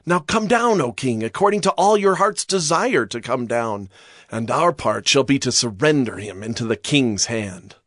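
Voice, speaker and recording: A man's voice: medium pitch, lively intonation, normal speed, fairly bright tone, no room sound, clean studio sound. An actor playing a tribesman informing his king in a dramatized scripture reading, eager and deferential.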